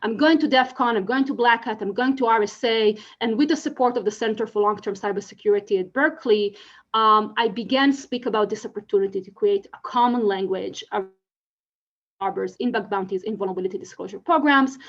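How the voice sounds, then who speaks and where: A woman's voice: mid-range voice, a little fast, muffled recording. A woman from Israel with an Israeli accent, giving an earnest conference presentation full of fillers and restarts. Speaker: conference speaker.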